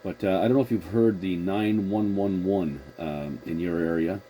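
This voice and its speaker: Deep mellow guy chatting on cb, agreeable, kinda hesitant, bit of noise behind him. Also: moderate pace. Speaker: CB radio operator hosting the contact. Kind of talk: casual radio chat between operators.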